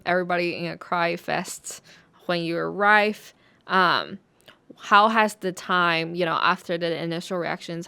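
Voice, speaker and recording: Slow, smooth-voiced female interviewer. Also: lowish voice. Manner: warm fillers, many "you know". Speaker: podcast host.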